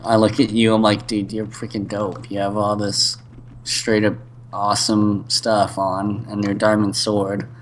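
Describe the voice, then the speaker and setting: A man's voice: fairly low pitch, monotone, a little slow, slight background noise. A young man chatting casually while playing a game, joking and laughing often. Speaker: co-host gamer. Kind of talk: casual gaming chat.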